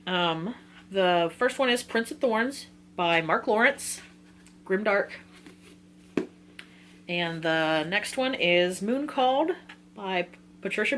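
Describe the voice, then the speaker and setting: A woman's voice: a little high, fairly bright tone. Young woman chatting casually over background noise. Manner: giggly, informal, self-interrupting. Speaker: book vlogger. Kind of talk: casual chatty book list talk to viewers.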